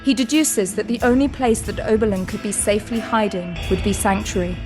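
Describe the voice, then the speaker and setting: A woman narrating an audio drama recap in a measured, steady storytelling voice. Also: medium pitch. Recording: music under the narration.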